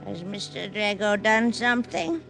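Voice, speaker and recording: An elderly female flower seller in a vintage radio play. She talks slowly and kindly, in dismay, with music behind her. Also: mid-range voice, expressive, gravelly, a bit bright. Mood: curious. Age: older. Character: kindly, chatty old flower-seller woman. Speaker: actress in a radio detective drama. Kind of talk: old-time radio drama acting.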